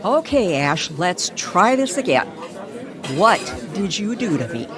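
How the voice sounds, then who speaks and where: A woman playing a shaken, sceptical small-town reporter in a radio drama, incredulous and halting. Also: fairly low pitch, sing-song. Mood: shaken, demanding. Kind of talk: old-time radio drama acting. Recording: crowd chatter behind the voice.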